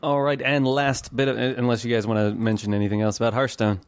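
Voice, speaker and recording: A man's voice: medium pitch, little pitch movement, fast talker, clear, resonant tone. A man hosting a gaming podcast in casual chat, enthusiastic and jokey, often ready to chuckle. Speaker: podcast host.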